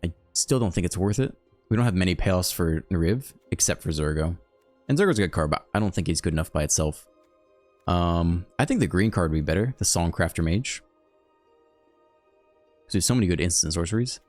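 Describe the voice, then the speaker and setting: Low-voiced man casually commentating a card game. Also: dry recording. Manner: thinks aloud, relaxed and chatty. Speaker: game video host. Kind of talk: casual live gameplay commentary.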